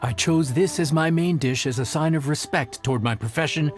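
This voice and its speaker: A man's voice: on the high side, a little fast, soft background music, lightly acted. An actor voicing a young male chef who is nervous but earnest and hesitates with stammers. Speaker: chef, contestant.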